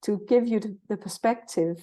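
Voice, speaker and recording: Mellow-voiced Danish woman in her sixties. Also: medium pitch, normal speed. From Jutland, Denmark. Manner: friendly, cheerful, thinks aloud as she talks. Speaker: guest, naturopath and herbalist. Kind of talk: conversational interview.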